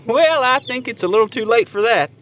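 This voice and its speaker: A man's voice: very high-pitched, expressive, brisk, a bit bright. A man playing an earnest, sheepish young suitor in an audio drama, devoted but a little feckless.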